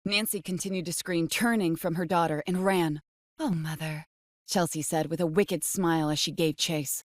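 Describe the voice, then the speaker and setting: A woman's voice: fairly low pitch, steady tone, normal speed, dry recording. Close, dry woman narrating horror. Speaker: audiobook narrator. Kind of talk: dramatic scripted horror narration.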